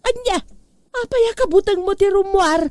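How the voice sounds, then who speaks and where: A high, dry-recorded voice of an exasperated wife played by a woman in an Ilocano-accented comedy drama. She is sharp-tongued and impatient, cutting in to scold. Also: normal speed, no room sound. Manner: scolding, interrupting. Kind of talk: comedy audio drama acting.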